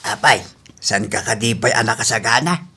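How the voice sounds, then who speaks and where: Sharp, bright-voiced old widower played by a man. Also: medium pitch, moderate pace, slightly rough, exaggerated voice. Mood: flirtatious, insistent. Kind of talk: radio comedy drama acting.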